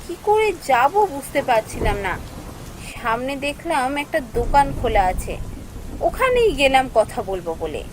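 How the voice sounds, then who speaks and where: A woman acts as an earnest young female office worker in a cartoon story. Her voice is high and swings expressively as she talks and narrates. There is faint noise behind her. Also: sing-song, normal speed. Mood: anxious.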